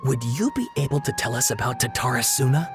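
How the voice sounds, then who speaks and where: A man voices an earnest young student in a game, fussy and a little flustered. His delivery is lively and hesitant, with clear game music playing behind him. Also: mid-range voice, fast, close to an everyday voice. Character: earnest young male student writing an essay.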